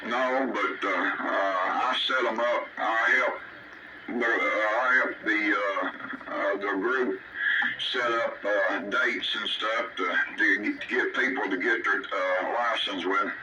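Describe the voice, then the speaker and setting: A man with a Tennessee Southern accent talking casually over CB radio in a folksy, halting, repetitive way. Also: lowish voice, steady tone. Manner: folksy, halting, repeats himself. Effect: two-way CB radio transmission. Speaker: CB radio operator. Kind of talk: casual radio chat, rambling storytelling. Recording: distant, very noisy CB radio signal.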